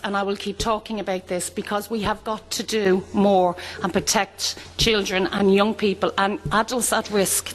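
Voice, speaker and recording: A woman's voice: medium pitch, fairly bright tone. A woman giving impassioned public testimony as a panel speaker. She speaks emphatically, with repeated phrases and rhetorical questions. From Ireland. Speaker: panel speaker, abuse survivor. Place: large conference hall. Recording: long hall reverb.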